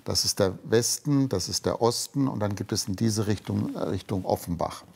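Gravelly man in his sixties. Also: lowish voice, moderate pace. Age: 60s. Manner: relaxed, tags sentences with "ne?". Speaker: interviewee, entrepreneur. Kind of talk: casual interview.

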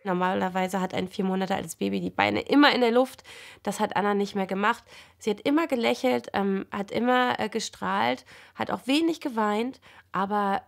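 A woman's voice: medium pitch, moderate pace, vocal fry. A young adult woman, a mother, recalling her experience in a documentary interview. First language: German. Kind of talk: personal documentary interview recollection.

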